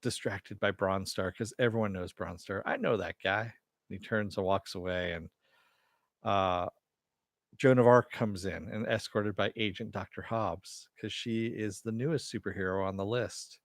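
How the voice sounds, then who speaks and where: A man's voice: on the low side, normal speed, no echo, studio quality. A man hosting a casual live-streamed recap of a TV episode, rambling and chatting with his viewers at home. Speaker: show host reviewing a TV episode. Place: home room. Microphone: headset mic with headphones.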